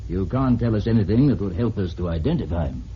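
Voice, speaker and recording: An actor playing a calm police inspector in a vintage radio drama, measured, polite and insistent. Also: male voice, on the low side, close to an everyday voice. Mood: probing, controlled. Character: calm, probing male Scotland Yard police inspector. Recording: muffled, noisy vintage radio recording.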